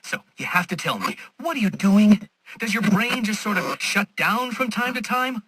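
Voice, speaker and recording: Video game villain: superior, taunting intellectual, piercingly bright edge, highly animated delivery, sarcastic and pompous. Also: male voice, moderate pace, breathy edge, full character voice. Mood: incredulous, irritated. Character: smug, condescending male genius villain, taunting and superior.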